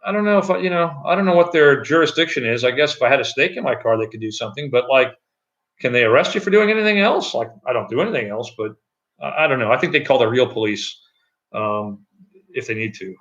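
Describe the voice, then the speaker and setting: A middle-aged man with a loud voice, chatting loosely on a live stream from an echoey room at home, with many fillers and restarts. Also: medium pitch, expressive, fast, lo-fi sound. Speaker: channel host. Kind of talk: casual live-stream chat.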